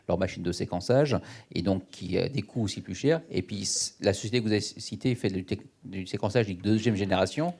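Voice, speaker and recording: A man's voice: fairly low pitch, mellow. A man giving informal, explanatory remarks as a speaker on a discussion panel.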